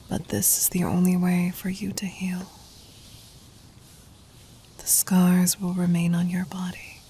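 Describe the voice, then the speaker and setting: A woman playing a gentle, doting demon queen in an ASMR roleplay, in a soft breathy whisper with tender, formal, intimate delivery. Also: lowish voice, slight background noise. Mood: soothing, attentive.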